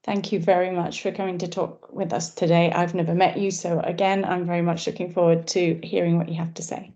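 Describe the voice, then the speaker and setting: A woman's voice: lowish voice, little pitch movement, brisk, dark tone. A woman hosting an online event, thanking and introducing speakers courteously. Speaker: event host. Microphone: video call. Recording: lo-fi video call audio.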